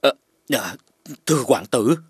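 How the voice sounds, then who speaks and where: A man playing a loyal, conscientious royal guard in an animated fairy tale, deferential and solemn. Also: mid-range voice, measured pace, breathy edge, no room sound, studio quality. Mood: deferential, uneasy.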